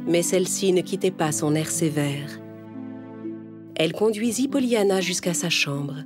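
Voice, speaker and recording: A woman storyteller reading a scripted children's tale as narration. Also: on the low side.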